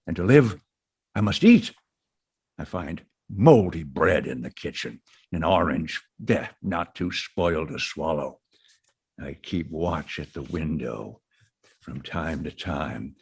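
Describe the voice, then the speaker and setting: A haunted lone astronomer. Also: male voice, on the low side, slightly rough, exaggerated voice. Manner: brooding, halting, emotional. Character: haunted lone astronomer, survivor, middle-aged man. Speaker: narrating survivor. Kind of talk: radio drama monologue.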